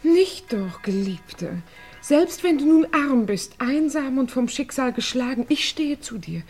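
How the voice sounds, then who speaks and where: An actress playing a devoted, calculating fiancée of a lord in a theatrical, gushing manner in an old crime radio play. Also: female voice, sits high, animated, a little slow, a little mellow. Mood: gushing devotion. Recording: vintage radio play, music behind.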